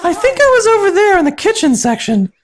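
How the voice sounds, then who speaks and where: A man voicing a timid, flustered young woman in a breathy falsetto, stammering through a dramatic story reading. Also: very animated, fast, faint music behind. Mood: hesitant. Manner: nervous, stammering, apologetic. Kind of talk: dramatic fan fiction reading.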